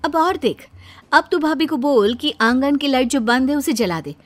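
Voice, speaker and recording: Woman playing teasing grown daughter, high voice, needling, insistent, exasperated, story acting over faint music. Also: animated, moderate pace. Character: meddling, teasing grown daughter goading her mother. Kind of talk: Hindi moral story acting.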